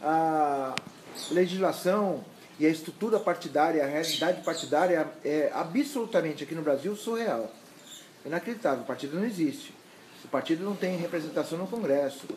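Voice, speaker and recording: A middle-aged man with a Brazilian accent from Santos, giving unscripted interview answers in a halting, emphatic way with repeated words. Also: a little high, background noise. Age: middle-aged or older. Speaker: mayoral candidate and university lecturer. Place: indoors at his home.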